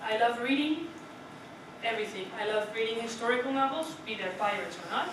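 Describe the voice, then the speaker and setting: A woman's voice: fairly high pitch, expressive, brisk, fairly bright tone. A young Dutch woman giving a prepared speech to a club, chatty and putting questions to the audience. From Netherlands. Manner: chatty, asks the audience questions, often adds "right?". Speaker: club speaker. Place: meeting room. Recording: echoey room from far off with noise.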